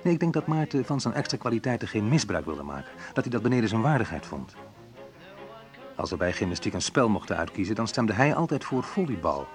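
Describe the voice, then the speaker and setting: An actor playing a reflective man in a naturalistic radio drama, talking in a conversational, hesitant, wry way with a husky voice. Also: fairly low pitch, sing-song, brisk, soft background music. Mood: wry, nostalgic. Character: Menno, reflective man recalling a school friend. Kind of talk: naturalistic radio drama monologue and phone talk.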